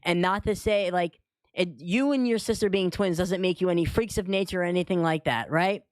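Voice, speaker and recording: A woman's voice: on the low side, brisk, no echo. A woman co-hosting a talk show in a casual, conversational style. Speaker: show co-host. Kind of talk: casual chat.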